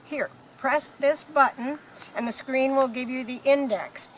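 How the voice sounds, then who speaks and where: A woman's voice: high-pitched, measured pace, slight background noise, low-quality recording. A woman playing a breezy, knowing galactic hitchhiker in a radio play, explaining things in a matter-of-fact way. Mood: helpful. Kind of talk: amateur radio play acting.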